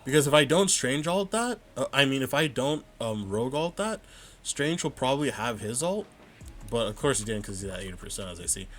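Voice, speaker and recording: Guy going over his own game clips, thinking out loud, medium voice, soft music under it. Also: medium pitch, big pitch swings. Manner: thinks aloud, analytical, pauses to rewind and check. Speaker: gamer reviewing his own match footage. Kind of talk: casual vod review commentary.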